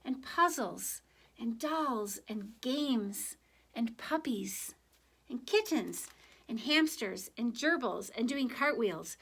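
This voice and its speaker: Female children's story reader, voice a little high, gentle and expressive, talks directly to kids. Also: slow pace, husky. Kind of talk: picture book read aloud, then informal reflection to children.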